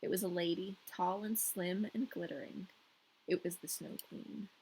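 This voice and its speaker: A woman's voice: lowish voice, steady tone, moderate pace, creaky, dark tone, a little hiss or hum, poor audio. A woman reading a fairy tale as a storyteller, informal and playful with her listener. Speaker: storyteller, podcast host. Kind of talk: scripted fairy tale narration with casual asides.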